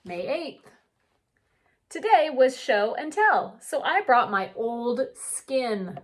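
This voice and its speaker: A woman reading a picture book aloud like a teacher, with animated, drawn-out delivery and chatty asides. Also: on the high side. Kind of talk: expressive children's read-aloud with chatty asides.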